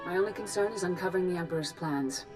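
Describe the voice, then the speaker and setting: A wise sci-fi order leader an actress, grave and composed, with a fairly low female voice. She speaks in measured phrases over prominent music. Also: lightly acted. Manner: measured, solemn. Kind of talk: video game dialogue.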